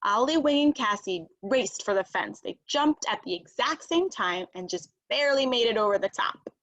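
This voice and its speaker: A woman narrates a children's picture book in an expressive read-aloud style. Her voice is on the high side. She stresses words clearly and varies her intonation a great deal. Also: normal speed, poor audio. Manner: expressive, emphatic. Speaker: storybook reader.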